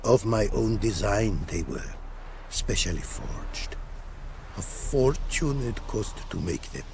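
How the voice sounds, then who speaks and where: An actor playing a courteous, proud scholar-artisan in a video game, speaking in formal, inverted, archaic phrasing. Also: male voice, on the low side, lively intonation, noisy background. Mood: mournful. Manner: formal, inverted archaic syntax, deliberate emphasis. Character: courteous, proud foreign male rune craftsman, melancholy scholar. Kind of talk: video game cutscene acting.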